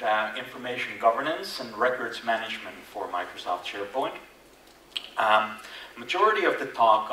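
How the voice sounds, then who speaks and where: A man's voice: on the low side, sharp tone. Finnish man with an Irish tinge. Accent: Finnish, with Irish influence. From Finland. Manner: casual, self-deprecating, frequent fillers and restarts. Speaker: conference speaker. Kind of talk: conference presentation. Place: large conference hall. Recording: echoey hall, distant mic, faint noise.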